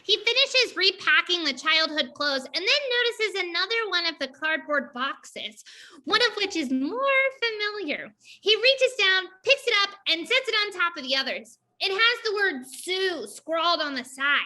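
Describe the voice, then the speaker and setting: A woman's voice: very high voice, expressive, normal speed, sharp tone. A woman reading stage directions aloud as scripted narration for a dramatized play reading.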